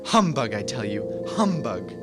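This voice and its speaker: An actor in a radio drama playing a crotchety, frightened old miser with a theatrical, exclamatory delivery. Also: male voice, mid-range voice, big pitch swings, unhurried. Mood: scornful. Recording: loud music behind the voice.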